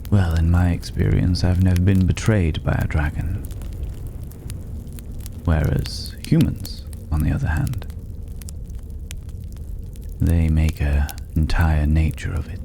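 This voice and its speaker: Man acting as a mysterious male stranger, bottomless low voice, subdued narrow intonation, condescending and teasing, drawn-out pauses, fantasy ASMR audio drama. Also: level tone, full character voice. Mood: reflective, admiring. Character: mysterious male stranger dwelling in a dragon's hoard, mocking, worldly. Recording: clearly noisy ambient background.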